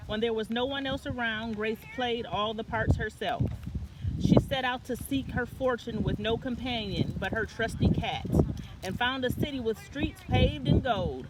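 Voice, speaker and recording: A woman's voice: fairly high pitch, moderate pace, heavy background noise. A woman reading a children's picture book aloud as a story time reader, now and then stumbling and correcting herself.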